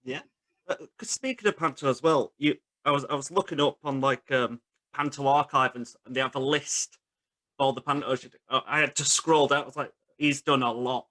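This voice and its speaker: A man's voice: mid-range voice, brisk, pushed and bright, rough recording. A man hosting a casual interview, putting questions to his guest in an informal, conversational way. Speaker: interviewer, host.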